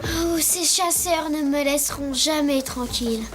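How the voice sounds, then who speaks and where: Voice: sits high, measured pace. An actor voicing a naive, polite young elephant in a cartoon, earnest and full of wonder. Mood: frightened. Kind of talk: animated cartoon dialogue. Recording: loud music under the voice.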